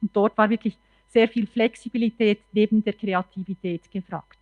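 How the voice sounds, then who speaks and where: A woman's voice: medium pitch, steady tone, normal speed, no room sound, phone-quality sound. A woman, a politician speaking spontaneously in a panel discussion.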